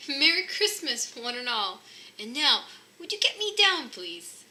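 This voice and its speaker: A woman's voice: high voice, sing-song, unhurried, pushed and bright, a little hiss or hum, pitched above the performer's own voice, exaggerated voice. A woman voicing a jolly, courteous old Santa in a children's storybook, hearty and politely pleading. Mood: merry.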